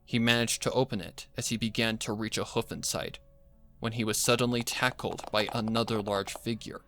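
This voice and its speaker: A man's voice: fairly low pitch, fairly even intonation, normal speed, fairly bright tone. A man reading a scripted horror story as narrator, with music playing behind him. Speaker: fan fiction narrator.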